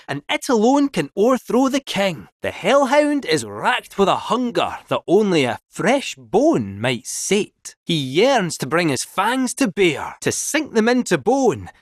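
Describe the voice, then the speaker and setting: An actor playing a theatrical, cheeky robot cat fortune teller with a Scottish accent, in a video game. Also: male voice, sits high, no room sound, clean studio sound, exaggerated voice. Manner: grand theatrical storytelling, lively swoops.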